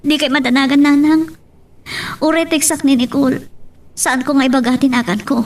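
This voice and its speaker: A woman plays a young Ilocano Filipino relative in a radio-style drama, offering reassurance. Her voice is high and slightly distant from the microphone. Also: fairly even intonation, normal speed, lightly acted. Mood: reassuring. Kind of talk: audio drama acting.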